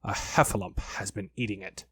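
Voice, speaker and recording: A man voicing a gentle, slow-witted storybook toy bear who muses in a simple, puzzled, childlike way. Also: lowish voice, steady tone, husky, no room sound, pitched below the performer's own voice. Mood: mildly dismayed.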